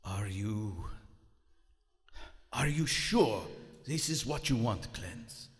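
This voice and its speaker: A man's voice: lowish voice, lively intonation, very slow. A man playing a haughty, coldly rational German submarine commander in a live radio drama, with formal, precise, theatrical diction. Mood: cold, resigned. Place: on stage in a large historic theater. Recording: live theater hall with reverb, music behind.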